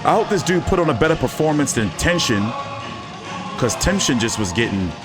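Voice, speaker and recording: A man's voice: mid-range voice, sing-song, brisk, music in the background. A man hosting a casual fight-commentary live stream, talking in loose, profane, joking banter. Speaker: boxing commentary host.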